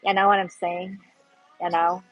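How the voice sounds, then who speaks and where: A young woman with a Pacific Northwest American accent chats casually to her livestream viewers. Her voice is airy and a little breathy, and she wanders between thoughts while she hunts for the right words. Soft music plays faintly in the background. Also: on the low side, level tone, moderate pace, lo-fi sound. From Pacific Northwest, United States. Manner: rambling, chatty, searching for words. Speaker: livestream host.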